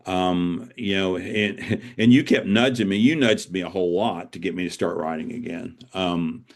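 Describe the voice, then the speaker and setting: A man's voice: sits low, normal speed, gravelly. An older man speaking as an author being interviewed, earnest and reflective, repeating words for emphasis.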